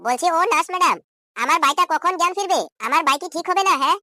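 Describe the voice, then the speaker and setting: A man voicing an anxious, excitable young man in a comedy cartoon, pleading and exclaiming through a pitched-up, sped-up effect. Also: fast talker, dry recording, full character voice.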